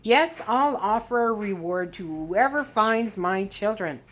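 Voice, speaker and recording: A woman's voice: mid-range voice, expressive, a little slow, fairly bright tone. Woman playing a desperate, demanding American father. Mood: determined. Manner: urgent, demanding. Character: desperate, forceful American father, a determined doctor. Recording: clear background noise.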